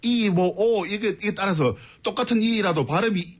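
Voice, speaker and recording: A man with a Busan Gyeongsang accent, a guest singer on a radio show, chatting easily and agreeing in quick short replies. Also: sits high, breathy edge. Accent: Busan Gyeongsang Korean. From Busan, South Korea. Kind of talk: casual radio chat.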